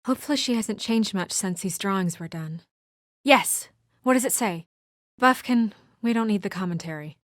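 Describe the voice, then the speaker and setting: Actress doing a brisk businesslike young woman game character, kinda exasperated but earnest, normal pitch, very dry recording. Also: lively intonation, no echo, lightly acted. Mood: brisk, focused. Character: brisk, exasperated young professional woman, dutiful deputy administrator. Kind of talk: video game voice acting.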